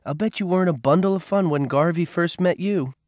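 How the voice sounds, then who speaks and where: A man voicing a caring woman nurse, speaking gently in a raised, lighter voice. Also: high-pitched, a little fast, no echo, clean studio sound, pitched above the performer's own voice, close to an everyday voice. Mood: gentle.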